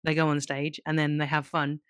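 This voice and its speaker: Low-voiced woman chatting on a podcast. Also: level tone, a little fast, a bit bright, smooth, no room sound, studio quality. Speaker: podcast co-host. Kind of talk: casual podcast chat.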